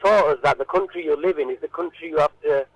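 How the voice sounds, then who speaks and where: A man answering informally in a radio interview, halting and often repeating his words. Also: a little high, no echo, rough recording.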